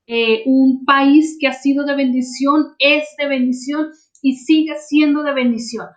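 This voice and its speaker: A woman's voice: high-pitched, steady tone, a little slow. A woman pastor hosting a church program, praying fervently with a repetitive cadence.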